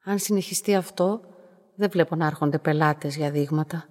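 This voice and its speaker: An actress playing a young, lonely woman who narrates her own story in a radio drama, soft, restrained and matter-of-fact. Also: deep voice, natural voice. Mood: subdued, hesitant. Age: young adult. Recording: music behind the voice.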